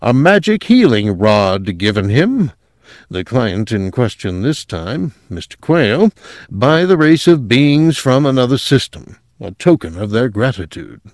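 A man's voice: medium pitch, big pitch swings, unhurried, a little mellow, no room sound, studio quality, voice pushed up. A man voicing a fussy, self-satisfied technician who explains things pedantically in an audiobook. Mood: matter-of-fact. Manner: pedantic, explanatory, hesitant throat-clearing. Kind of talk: audiobook character voice.

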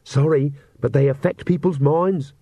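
Sensible young woman done by a man, storybook, anxious, sceptical, insistent, raised pitch. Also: steady tone, moderate pace, creaky, dark tone, dry recording. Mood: urgent. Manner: insistent, questioning.

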